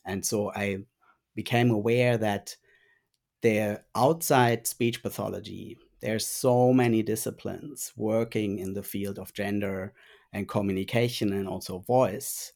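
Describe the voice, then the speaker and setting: Low-voiced German-native man, pausing thoughtfully. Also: fairly even intonation, vocal fry. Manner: thoughtful, frequent um pauses, trailing quieter. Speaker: guest, speech pathology lecturer and researcher. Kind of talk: interview answers.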